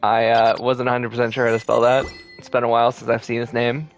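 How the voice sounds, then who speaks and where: A man livestreaming a game, chatting casually with viewers and thinking aloud in a relaxed way. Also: medium pitch, fast talker. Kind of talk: casual live gameplay chat with viewers. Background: video game music.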